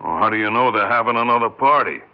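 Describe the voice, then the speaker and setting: An actor playing a gruff but soft-hearted working cop in a 1960s radio drama, with an American accent and a plain-spoken, hesitant manner. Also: male voice, mid-range voice, fast talker, close to an everyday voice. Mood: reasoning. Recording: muffled old 1960s radio recording.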